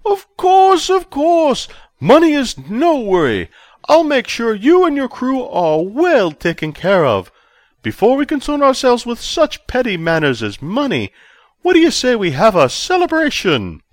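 A man reading an audiobook, voicing a giddy, fawning male chancellor who gushes in a slow, drawn-out way. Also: very high voice, huge pitch swings, no room sound, clean studio sound, pitched above the performer's own voice, full character voice. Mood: gushing. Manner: gushing, drawn-out delivery.

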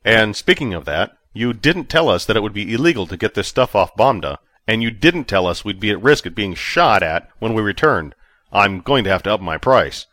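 A cocky, easygoing space captain, voiced by a man narrating a story, low-voiced and lively in pitch. He coaxes and bargains in a breezy, persuasive way, a little louder than the narration. Dry, close sound. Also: moderate pace, dry recording. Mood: bargaining.

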